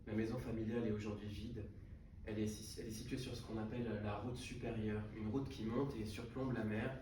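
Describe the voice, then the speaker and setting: A man reading a literary novel aloud at a book prize event, unhurried and with frequent hesitations and restarts. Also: lowish voice, level tone. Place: salon of a literary hotel. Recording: echoey room, distant mic, background noise.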